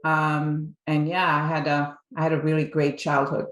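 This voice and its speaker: A woman's voice: low-pitched, little pitch movement, normal speed, roomy sound, soft background music, rough recording. A middle-aged woman from Belgium, a guest on an interview podcast, telling her story in casual chat with many fillers and restarts. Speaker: guest, marketing executive.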